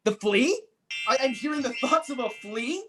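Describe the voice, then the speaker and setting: A baffled, kindly fairytale servant, played by a performer, speaks in a highish, lively voice. The delivery is hesitant and bewildered, over clear background noise. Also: moderate pace. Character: baffled, kindly servant who hears animals' thoughts. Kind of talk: comic fairytale audio drama.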